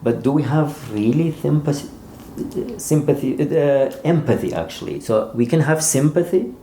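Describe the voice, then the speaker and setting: A man being interviewed as an author, speaking haltingly and repeating words as he searches for phrasing. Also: mid-range voice, expressive, dark tone. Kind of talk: interview conversation. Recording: roomy echo, faint background noise.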